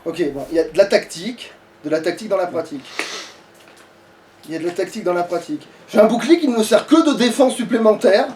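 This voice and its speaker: A woman's voice: medium pitch, fast, warm tone. A woman doing casual, rambling let's play commentary as the player, with informal swearing. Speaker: let's player playing the game. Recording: distant mic, background noise.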